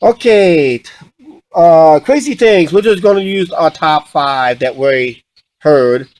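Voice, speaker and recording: A man telling stories casually and unscripted, halting and often repeating himself as he restarts sentences. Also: a little high, expressive, darkish tone, no echo. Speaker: vlog co-host.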